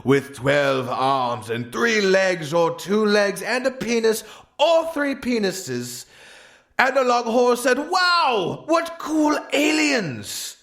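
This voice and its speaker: A man's voice: sits high, expressive, slow, fairly bright tone. A man narrating an absurd comedic tale as a storyteller, with a theatrical, exaggerated delivery.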